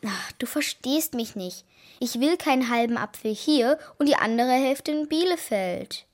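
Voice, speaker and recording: A young girl in a children's radio drama, earnest and insistent. Her voice sits fairly high. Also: animated, measured pace. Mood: stubborn, hurt. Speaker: performer in a children's radio play.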